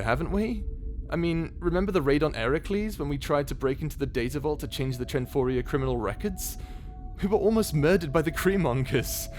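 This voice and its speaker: Brash space pirate captain, male actor, very animated, flippant and rousing, music behind. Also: fairly high pitch, close to an everyday voice. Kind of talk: scripted audio drama. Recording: background music under the voice.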